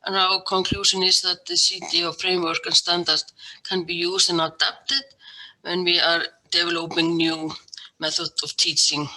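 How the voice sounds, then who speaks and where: Icelandic-speaking woman presenter, sharp bright tone, measured phrasing. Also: fairly low pitch, little pitch movement, poor audio. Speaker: conference presenter.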